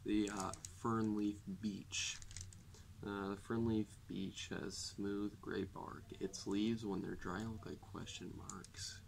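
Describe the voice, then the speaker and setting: A young man reading a children's non-fiction book aloud in a deadpan manner, recorded a few feet from the camera indoors. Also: on the low side, slow pace, vocal fry. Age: young adult. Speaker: reader. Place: indoors, public library, at a table.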